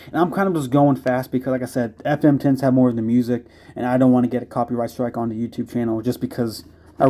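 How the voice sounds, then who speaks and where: Casual American man with a mellow voice. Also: medium pitch. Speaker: radio review video host. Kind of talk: casual unscripted product review. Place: indoors.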